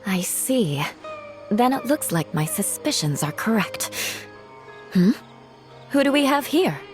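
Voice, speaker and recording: A woman's voice: mid-range voice, sing-song, slow, a little mellow, faint music behind. A woman playing a proud, cool, dutiful noblewoman knight in a video game, with a formal, haughty manner. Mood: wary. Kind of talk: video game cutscene acting.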